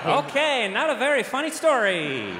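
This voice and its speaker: A goofy dead Hungarian composer hosting a chat show, with a put-on Hungarian accent. The voice sits very high and swings widely. Long hall reverb with music behind. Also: male voice, big pitch swings, normal speed, background noise. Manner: silly, playful talk-show host banter. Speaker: comedy sketch character. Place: large concert hall stage.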